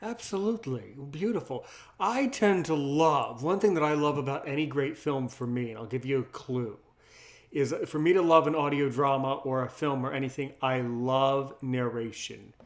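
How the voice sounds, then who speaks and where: A man's voice: mid-range voice, normal speed. A man hosting a casual interview, effusive and wordy, circling around his questions. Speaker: host, interviewer.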